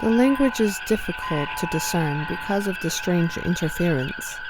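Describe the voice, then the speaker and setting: An actress playing a formal, precise starship communications lieutenant in a science fiction audio drama. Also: female voice, fairly low pitch, lively intonation, normal speed, heavy background noise, close to an everyday voice. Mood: businesslike.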